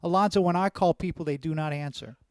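A fairly high-voiced man talks loudly and eagerly, tripping over his words as he restarts sentences. He reads out fan questions as host. His voice is dry and close over the call. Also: quick pace, smooth, dry recording. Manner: loud, excitable, stumbling restarts. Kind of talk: casual video-call chat. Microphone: video call.

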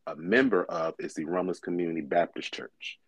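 Young male pastor in his twenties, deep low voice, relaxed chatty delivery, dry close recording. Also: fairly quick, breathy edge, no room sound. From Michigan. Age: 20s. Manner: relaxed, conversational, with frequent fillers. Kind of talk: casual interview conversation.

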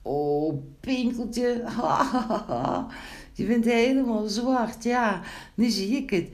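A woman's voice: on the high side, lively intonation, slow pace, a little mellow, pitched above the performer's own voice. A woman voicing a playful, teasing animal with a bushy tail in a children's story, full of exclamations. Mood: gleeful laughing.

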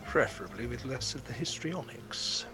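A man's voice: medium pitch, lively intonation, normal speed, gravelly, music in the background. A man playing a disapproving, pompous beekeeper in an audio drama, with a dry, sardonic manner.

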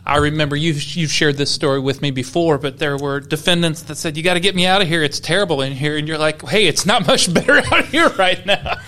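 A man's voice: fairly high pitch, fairly quick, few pauses, pushed and bright. A man hosting a podcast, chatty and upbeat as he welcomes and questions his guest. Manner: upbeat, chatty with some restarts. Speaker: podcast host.

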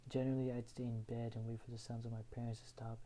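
Young guy from houston reading his own essay out loud, super dark mellow tone, pretty flat. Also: mid-range voice, quick pace, a little hiss or hum. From Houston, Texas. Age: young adult. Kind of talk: reading a written personal story aloud.

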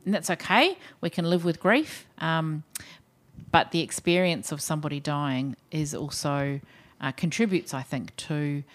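Low-pitched New Zealand woman, podcast guest, measured and reflective. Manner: thoughtful, measured, frequent ums. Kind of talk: podcast interview.

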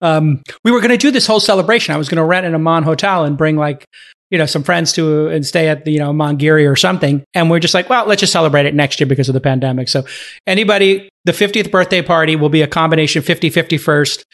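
A man's voice: fairly high pitch, fast talker, a bit bright. A man in his late forties hosting a podcast, chatty and enthusiastic in casual interview talk. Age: 40s. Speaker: podcast host.

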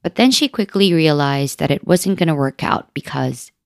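A woman co-hosting a podcast, reading scripted narration in a smooth, measured storytelling way. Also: sits low, lively intonation, a little mellow, no room sound, studio quality. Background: music bed.

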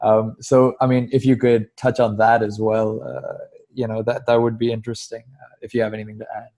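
A man from Hong Kong moderating a casual discussion and asking halting, hesitant questions. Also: lowish voice, little pitch movement, mellow.

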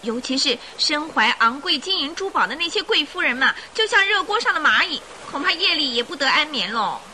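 An actress in a radio drama playing an excitable, gossipy female ship passenger. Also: high-pitched, brisk, a little hiss or hum, exaggerated voice. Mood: excitable gossip.

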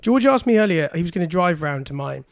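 A man's voice: a little high, expressive, fast, vocal fry, no room sound. A British man co-hosting a casual chat show with dry, mock-serious, emphatic banter. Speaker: co-host of a chat show about beer and snacks.

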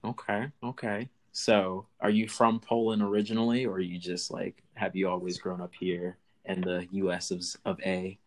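A man's voice: low voice, little pitch movement, normal speed, hardly any pauses. A man co-hosting a podcast, talking in a playful, slangy way. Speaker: podcast co-host, videographer.

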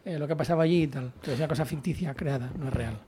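A young man from Catalonia speaks Spanish with a Catalan accent, chatting fast as an interview guest. His voice sits a little high. He rambles, restarts and fills his sentences with "o sea". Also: warm tone, breathy edge. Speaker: guest writer and journalist. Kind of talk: casual interview chat.

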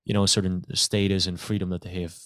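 Deep-voiced, eager podcast host. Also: male voice, level tone, quick pace, a bit bright, clear, resonant tone, dry recording, clean studio sound. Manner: enthusiastic, restarts often, frequent "you know". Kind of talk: casual podcast interview.

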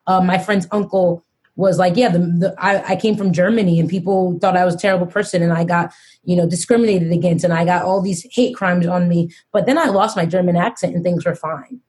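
A woman hosting a podcast, speaking earnestly and slowing down to stress her points. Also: on the low side, fairly even intonation, a little mellow. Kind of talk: casual podcast discussion.

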